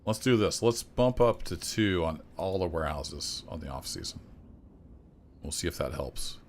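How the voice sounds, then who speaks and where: A man streaming a city-building game, chatting casually and thinking aloud as he plays, his voice a little rough. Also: low voice, moderate pace. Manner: relaxed, thinking aloud with fillers and restarts. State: slightly rough voice. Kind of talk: casual gameplay commentary.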